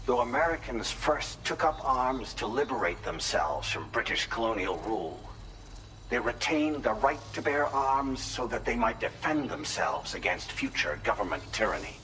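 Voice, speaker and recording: An actor playing a formal, cerebral male contact who gives secretive counsel in a measured, expository way in a video game cutscene. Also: mid-range voice, sing-song, pushed and bright, a little hiss or hum, poor audio. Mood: grave, confiding.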